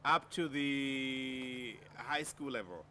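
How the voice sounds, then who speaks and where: A man's voice: medium pitch, slow, sharp tone, a little hiss or hum. A man from Kenya hosting an interview, inquisitive and effusive, repeating answers back to the guest. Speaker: interviewer, host. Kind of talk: interview questions.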